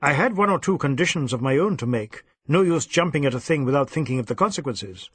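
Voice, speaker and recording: A man voicing a brisk, proper older Indian father in an audiobook, with a clipped, old-fashioned, matter-of-fact way of talking. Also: medium pitch, expressive, a bit bright, breathy edge, no room sound.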